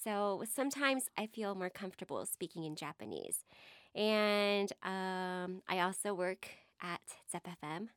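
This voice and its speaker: A woman's voice: mid-range voice, animated, a little slow, fairly bright tone, smooth, dry recording, clean studio sound. A young woman from Hawaii and Texas co-hosting a podcast in casual chat, chatty with frequent laughs and fillers. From born in Hawaii, raised in Texas. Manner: chatty, laughs often, many fillers and backchannels. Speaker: podcast co-host, radio station worker.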